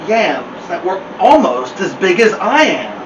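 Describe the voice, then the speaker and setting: A small storybook mosquito played by a man, boastful and excitable. The voice sits high and carries from a little way off in a roomy, noisy space. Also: a little slow, distant mic, highs cut off. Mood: excited boasting.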